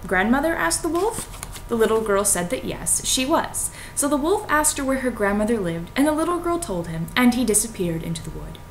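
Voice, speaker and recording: A young American woman talking to camera in an informal, wry way, like a casual vlogger. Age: young adult. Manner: informal, wry, hedging with "like" and "you know". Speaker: vlogger reading and analysing a fairy tale. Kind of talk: casual spoken commentary to camera. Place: small home kitchen, indoors. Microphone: computer webcam at arm's length. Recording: noisy home webcam recording, low rumble.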